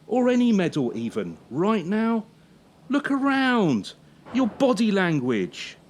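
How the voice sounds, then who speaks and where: An actor playing a harsh former champion turned gymnastics coach in a radio play, scolding with sarcasm and pointed pauses. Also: medium pitch, wildly swooping pitch, exaggerated voice. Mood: intimidating. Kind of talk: radio drama acting.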